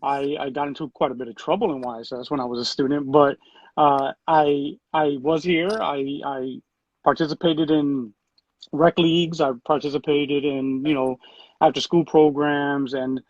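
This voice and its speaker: A man in his thirties talks easily about his background, often pausing on "um" and "uh". His voice sits a little higher than most men's. The sound is dry and somewhat dull. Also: no room sound. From Puerto Rico. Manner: relaxed, full of fillers and restarts. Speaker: city program director. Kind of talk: conversational interview answers.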